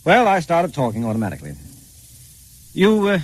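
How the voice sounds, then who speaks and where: An actor playing a wry, streetwise pal who narrates in a knowing, conversational way, in old-time radio style. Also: male voice, fairly high pitch, wildly swooping pitch, a little fast. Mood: wry, confiding. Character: wry, streetwise narrator, the painter's scheming quick-witted pal.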